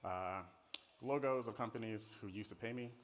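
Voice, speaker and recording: A man's voice: lowish voice, normal speed, a little hiss or hum. A middle-aged man with an American accent giving an informal conference presentation in a casual, playful, conversational way. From Netherlands. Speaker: conference speaker, design director. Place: conference stage in a hall. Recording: reverberant hall.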